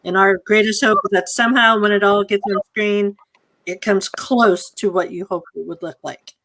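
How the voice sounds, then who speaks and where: A woman's voice: mid-range voice, steady tone, moderate pace, fairly bright tone, low-quality recording. A woman moderating an informal community theatre Q&A on a video call, effusive and thankful in the way she talks. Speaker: host of a community theatre online talkback.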